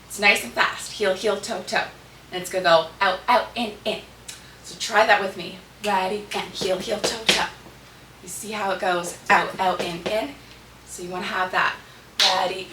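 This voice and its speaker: A woman with a slightly hoarse voice teaching a dance step by step as a tutorial host, cueing moves in short, rhythmic counts. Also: medium pitch. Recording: echoey room, distant mic, background noise.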